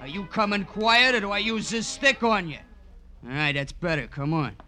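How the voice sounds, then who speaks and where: An actor playing a simple, blustering beat cop who stammers and repeats himself, in old radio drama style. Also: male voice, high-pitched, animated, moderate pace, over music. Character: simple, blustering beat patrolman, deferential and dim. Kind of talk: 1950s radio crime drama acting.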